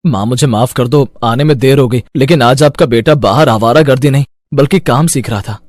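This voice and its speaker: A man's voice: mid-range voice, brisk, a little mellow, dry recording, clean studio sound, lightly acted. A man voicing an earnest, dutiful teenage son in a cartoon moral story, explaining things reassuringly. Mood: apologetic. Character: earnest, dutiful fifteen-year-old son of poor mother. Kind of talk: animated moral-story dialogue.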